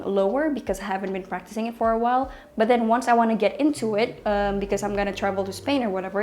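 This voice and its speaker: A woman's voice: mid-range voice, darkish tone. A young woman, a language teacher giving casual advice in a vlog, talking in a chatty way with many ums and restarts, recorded in a small bedroom. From Indonesia. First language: Indonesian.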